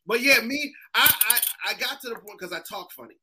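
A man from Massachusetts with an East Coast accent, a guest telling animated stories in casual chat. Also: very high-pitched, brisk, noisy background. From Springfield, Massachusetts. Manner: animated storyteller, mimics other people's voices.

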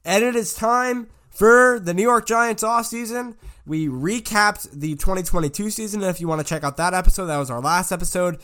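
A young man with a stuffed-up nose from a cold hosts a casual sports podcast. His voice stays smooth and resonant despite the congestion, and he rambles along with plenty of ums and you-knows. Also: high-pitched, moderate pace, few pauses, pushed and bright. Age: young adult. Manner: rambling, filler-heavy. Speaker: podcast host.

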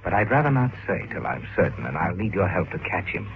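An actor playing a smooth, calculating businessman who hides a killer's nature in a vintage radio drama, deliberate and persuasive. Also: sits low, very animated. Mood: persuasive concern. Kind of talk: 1940s-50s radio drama acting. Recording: narrow, noisy old radio recording.

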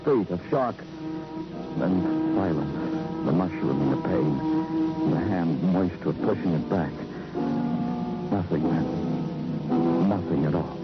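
An actor playing a hard-boiled police detective who narrates in a poetic, clipped, dramatic way in a 1950s radio crime drama. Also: male voice, low-pitched, animated, slow pace, pauses often. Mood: tense, brooding. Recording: muffled old radio recording, loud music behind.

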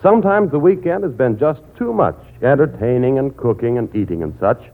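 A man's voice: mid-range voice, lively intonation, a little fast. An American man as a 1940s radio announcer, reading rhymed advertising verse in a sing-song way. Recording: tinny old radio broadcast.

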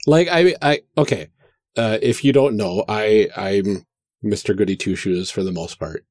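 A man's voice: on the low side, a little slow, a little mellow, no echo, studio quality. A man chatting casually as a podcast host and player. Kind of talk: casual chat.

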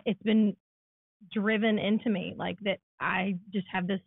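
Woman, raspy voice, casual podcast chat, tinny phone-like audio, dry. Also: mid-range voice, steady tone, unhurried, dry recording. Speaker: podcast co-host.

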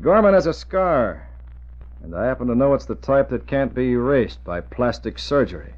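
An actor playing a confident masked vigilante posing as a crook in an old-time radio drama, firm, terse and commanding. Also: male voice, mid-range voice, big pitch swings, moderate pace, natural voice. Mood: firm, challenging. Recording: muffled old radio recording.

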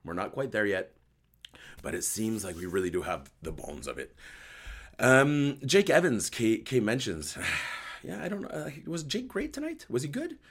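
A man with a hoarse voice hosting a casual live sports chat show, joking and thinking aloud as he answers viewers. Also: medium pitch, wildly swooping pitch, moderate pace. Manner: joking, conversational, thinking aloud with repeated words. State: hoarse voice after a night outdoors. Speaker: host of a hockey post-game recap show.

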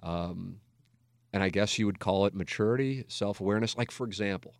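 American guy in his 40s, lowish voice, dry close sound, rambly self-deprecating radio talk, swears a lot. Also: fairly quick, vocal fry, no echo. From United States, Missouri. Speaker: radio talk show host. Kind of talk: candid reflective casual talk.

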